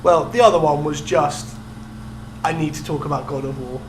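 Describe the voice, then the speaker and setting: A man's voice: on the high side, normal speed. A young man co-hosting a podcast, chatting casually about video games. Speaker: podcast co-host. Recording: roomy echo, steady background noise and hum.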